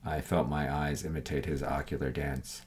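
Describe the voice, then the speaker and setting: An extremely low-pitched man narrates serial crime fiction in the first person. He reads from a script as the storyteller, with his voice sitting at the very bottom of the male range. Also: level tone, moderate pace, darkish tone.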